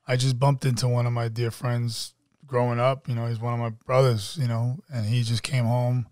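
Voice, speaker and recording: A young man talks in a loose, streetwise way and often stumbles over repeated words. His voice sits at an everyday middle pitch. It is recorded close up on a dry mic with no room sound. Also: flat delivery, moderate pace, darkish tone, dry recording. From Queens, New York. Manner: streetwise, repeats words and restarts, says "you know" a lot. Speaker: actor guest. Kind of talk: casual interview chat.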